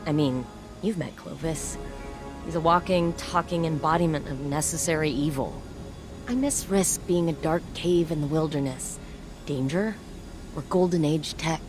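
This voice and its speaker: An actress playing a wry, weary, stubborn adventurer in a video game, talking reflectively and conversationally over music. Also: female voice, sits low. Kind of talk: video game cutscene monologue. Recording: music behind the voice.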